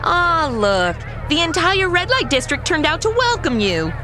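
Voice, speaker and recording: A woman's voice: very high voice, sing-song, measured pace, crowd noise, background noise, exaggerated voice. An actress playing a sassy, outspoken modern woman, sarcastic and indignant, in a comedy audio drama.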